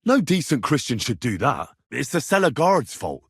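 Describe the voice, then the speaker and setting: A man's voice: mid-range voice, big pitch swings, normal speed, fairly bright tone, husky, no echo, clean studio sound, exaggerated voice. A man voicing a stubborn, cheeky young medieval man in a video game, with blunt, earthy, conversational delivery. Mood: puzzled. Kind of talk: video game character dialogue.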